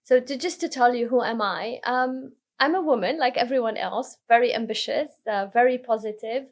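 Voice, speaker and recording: A woman from Lebanon, a podcast guest telling her career story in a relaxed way, often restarting her sentences. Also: fairly high pitch, moderate pace. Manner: relaxed, self-correcting storyteller. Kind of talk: casual interview conversation.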